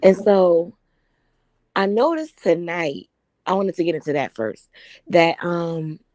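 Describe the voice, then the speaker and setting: A hoarse woman calling in to a livestream from her phone, chatty and gossipy. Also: on the low side, expressive, takes it slowly, darkish tone, dry recording. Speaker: caller on a livestream. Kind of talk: casual chat.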